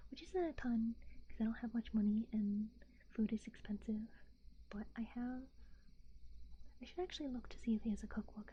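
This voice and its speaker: A young woman from the United States whispering in a soft, rambling ASMR chat, with fillers and little giggles. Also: on the high side, husky, lo-fi sound.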